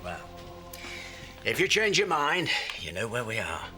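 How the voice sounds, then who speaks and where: A man's voice: medium pitch, wildly swooping pitch, a little slow, over music. An actor playing a genial, teasing middle-aged man in a soap, talking in a casual, coaxing way. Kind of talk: naturalistic TV soap acting.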